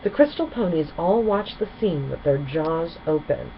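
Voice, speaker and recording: Female storybook narrator, expressive, varied intonation, slightly dull recording with faint noise. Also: deep voice, moderate pace, gravelly.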